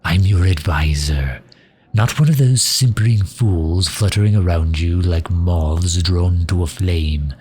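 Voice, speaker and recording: Casting: male actor as a loyal fantasy mage advisor, extremely low voice, slow and dryly cutting, for roleplay audio. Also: mellow. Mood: haughty, sardonic. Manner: dry, sardonic, drawn-out delivery with sighs. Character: loyal, sharp-tongued male mage advisor to a demon ruler. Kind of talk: ASMR roleplay audio drama.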